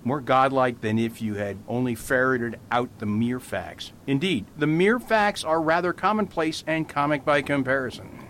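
A man playing a gentle, shrewd priest detective in a radio mystery, patiently explaining with amusement. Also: moderate pace, hardly any pauses, natural voice. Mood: playful explaining. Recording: faint rumbling background noise.

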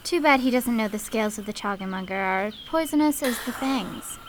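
Woman playing a bold, high-voiced young warrior woman. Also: noisy background, natural voice. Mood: shrewd, cool. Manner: confident, brisk, bargaining. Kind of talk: theatrical radio drama acting.

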